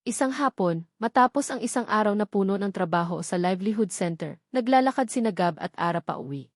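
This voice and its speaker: A Filipino woman narrates a scripted love story. Her pitch sits in the middle range for a woman. The sound is dry, with no echo around the voice. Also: animated, brisk.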